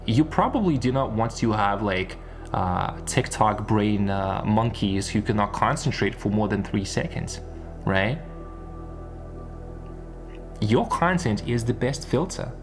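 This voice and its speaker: Low-voiced man musing over music. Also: animated, measured pace. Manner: rambling, repeats phrases, rhetorical questions. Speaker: online business content creator. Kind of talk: unscripted one-take monologue.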